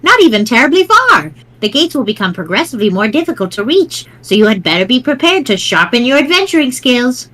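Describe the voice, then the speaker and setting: A woman playing a high, theatrical ghostly granny. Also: big pitch swings, brisk, few pauses, a bit bright, rough recording. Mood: cryptic, doting. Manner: theatrical, fond, instructive. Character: sweet, grandmotherly old woman ghost sprite, riddling and doting. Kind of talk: amateur dramatic reading of a webcomic.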